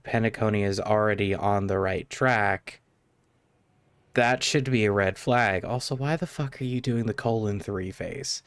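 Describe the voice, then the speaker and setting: A man's voice: fairly low pitch, clean tone, dry recording. A man giving casual live game commentary as a streamer, blunt and sardonic.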